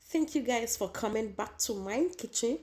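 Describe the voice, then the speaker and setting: Hoarse woman, casual cooking host, warm low-bright timbre, talks straight to viewers. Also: expressive, moderate pace. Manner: chatty, repeats herself, addresses viewers as guys. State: hoarse, losing her voice.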